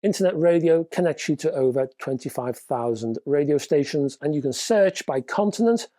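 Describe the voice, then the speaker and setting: A man's voice: on the high side, expressive, moderate pace, warm tone, no echo, studio quality. A man hosting a hi-fi news roundup, reading out product details in a casual, chatty way with offhand asides. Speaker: host.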